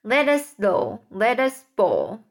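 A woman voicing an excitable, boastful male centipede in a storybook, reciting rhyming verse in a sing-song way. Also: mid-range voice, slow, vocal fry, exaggerated voice. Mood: excited.

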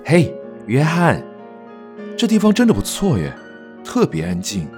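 A man's voice: a little high, wildly swooping pitch, a little slow, darkish tone, soft background music. A man playing an affable, dismissive doctor and older brother in a Mandarin radio drama, easygoing and soothing. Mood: friendly.

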